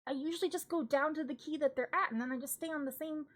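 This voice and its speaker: A young woman, a classical singer streaming live, chatting casually with lots of restarts and giggly asides. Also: quick pace. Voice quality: high singing voice. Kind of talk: casual stream chat, then singing along.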